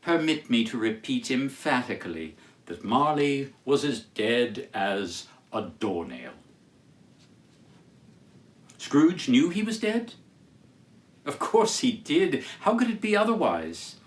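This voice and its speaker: A man giving a formal, declamatory literary reading as a storyteller, with deliberate phrasing and dramatic pauses. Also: medium pitch, big pitch swings. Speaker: storyteller reading as Charles Dickens. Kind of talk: theatrical literary reading with introduction.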